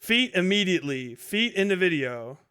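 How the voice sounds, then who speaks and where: Male livestream host, high smooth voice, casual reactive commentary, profane and incredulous. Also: very animated, normal speed, sharp tone. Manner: heavily profane, exasperated, incredulous.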